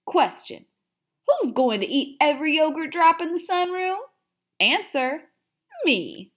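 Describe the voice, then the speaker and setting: A woman voicing an excitable, dramatic little hamster telling his diary in an expressive children's read-aloud, with theatrical emphasis and hushed asides. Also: high voice, measured pace, full character voice. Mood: proud, scheming.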